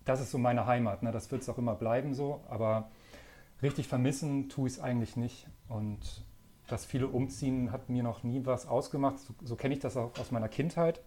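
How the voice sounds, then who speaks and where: A man in his thirties from northern Germany, a magazine editor answering podcast questions in a relaxed way, thinking aloud with many fillers. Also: mid-range voice, fairly quick, a little hiss or hum. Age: 30s. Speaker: magazine editor-in-chief, guest. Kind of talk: casual podcast interview.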